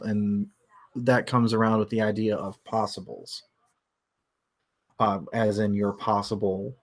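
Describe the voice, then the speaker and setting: A man in a casual livestream book discussion, explaining things analytically and feeling his way through his thoughts. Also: fairly low pitch.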